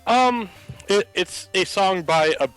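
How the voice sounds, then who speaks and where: A man's voice: sits high, slow pace, fairly bright tone, clear, resonant tone. A man calling in to a gaming livestream, chatting casually in a hesitant, laconic way. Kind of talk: casual chat. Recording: game music behind the voice. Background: video game music.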